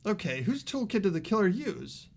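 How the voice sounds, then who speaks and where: A man voicing an earnest high school boy in a mystery game, explaining a case in a deliberate, dramatic way. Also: big pitch swings, gravelly, heavily acted. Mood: puzzled, intent. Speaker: amateur voice actor in a game playthrough.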